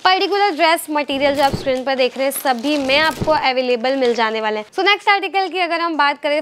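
High-voiced young woman pitching dresses. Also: fairly bright tone. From India. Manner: upbeat, fluent, repetitive sales patter mixing in English words. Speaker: presenter for a wholesale clothing outlet. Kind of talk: sales pitch presentation. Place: indoor clothing store with stocked shelves.

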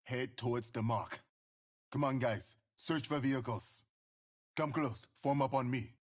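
A man's voice: medium pitch, fairly even intonation, unhurried, husky. An actor playing a spirited young male survival expert in a video game, calling out short lines to his squad in a casual, streetwise manner. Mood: alert.

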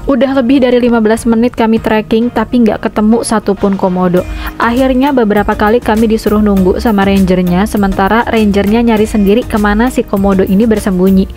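A woman's voice: mid-range voice, a little fast, mellow, soft background music. A young woman from Indonesia, a travel vlogger, narrating her trip in a chatty, upbeat way and mixing in English phrases. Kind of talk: vlog voice-over and on-the-spot chat. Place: outdoors on a forest trek.

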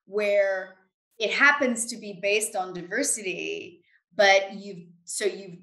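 A woman's voice: mid-range voice, bright, forceful tone. American woman, middle-aged, emphatic, conversational expert guest, roomy echo, video-call sound. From United States. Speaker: interview guest, finance expert. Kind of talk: conversational interview answers. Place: indoors in a home room. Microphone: video call, computer at desk distance.

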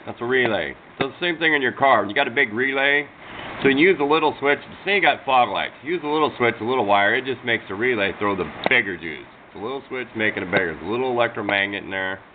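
A man's voice: medium pitch, fast. Folksy American man, tinny noisy recording. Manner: plain-spoken, rambling, folksy and self-deprecating. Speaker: hobbyist radio demonstrator. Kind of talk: casual unscripted show-and-tell narration. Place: indoors at a workbench. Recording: tinny phone-like sound with clear background noise.

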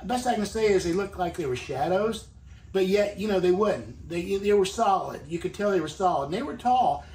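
A man's voice: high voice, animated, normal speed, darkish tone. An older man being interviewed, recounting what happened to him in a rambling way full of fillers, recorded indoors at home. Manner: rambling, filler-heavy storytelling. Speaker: eyewitness interviewee. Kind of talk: recounting a personal story. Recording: roomy, distant, faintly noisy video clip.

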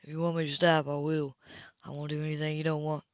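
Possessive male romance hero voiced by a woman, direct, seductive, lowered pitch, dull sound. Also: little pitch movement, fast talker, no room sound, natural voice. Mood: seductive, intense. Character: hot-tempered, possessive male lover in a romance novel. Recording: dull lo-fi home recording.

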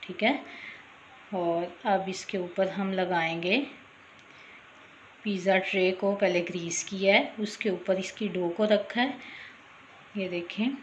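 A woman narrating a cooking tutorial as voice-over, talking casually and directly to her viewers, often pausing with a quick "okay". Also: mid-range voice, steady tone, dull audio, a little hiss or hum. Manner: chatty, repeats "theek hai", addresses viewers directly. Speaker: cooking channel host.

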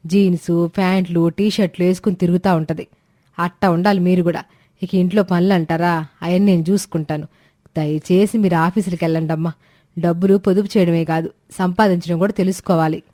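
A woman acts a devoted village wife from a storybook cartoon, low-pitched for a woman. Her talk is homely and plain, recorded dry. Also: steady tone, fairly quick, warm tone, dry recording, natural voice. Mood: advising. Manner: homely, colloquial. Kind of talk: animated moral-story dialogue.

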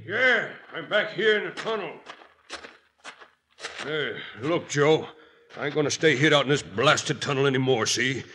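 A man's voice: on the high side, unhurried, long pauses, sharp tone, slight background noise. An actor playing a grumbling Western henchman with a whiny, folksy drawl in a 1950s radio drama. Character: Ole, grumbling outlaw henchman.

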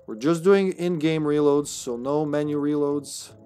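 A man's voice: on the high side, unhurried, mellow, clean tone. A man in his thirties with a Serbian accent, joking and laughing through casual live gameplay commentary. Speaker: streamer.

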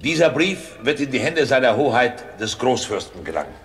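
An actor playing a resolute, dutiful Russian army officer in a German radio play, terse and formal in a military way. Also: male voice, medium pitch, sing-song, normal speed, faint music behind.

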